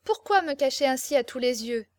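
Lady doing an imperious young captive girl, storybook drama, very high voice, capricious and demanding, super dry sound. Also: expressive, a little fast, no room sound. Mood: imperious, piqued. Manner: impassioned, demanding, theatrical exclamations.

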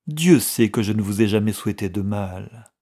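Guy doing an anxious old clerk in an audiobook, husky, gentle, pleading, kinda agitated. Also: fairly low pitch, normal speed. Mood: gentle reproach. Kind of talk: audiobook character dialogue.

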